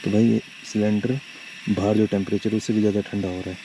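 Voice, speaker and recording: A man with a lowish voice narrates a camping trip, talking chattily to his viewers as brothers. He speaks from a campsite at night and later from inside a tent. Faint noise sits under the voice. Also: flat delivery, fast, mellow, low-quality recording. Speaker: travel vlogger. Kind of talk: casual vlog narration to viewers.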